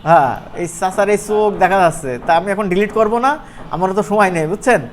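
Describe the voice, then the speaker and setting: A man's voice: high-pitched, fast. Bangladeshi man teaching casually, noisy recording. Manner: conversational, playful, often checking with listeners. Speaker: animation instructor. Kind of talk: informal screen-share software tutorial.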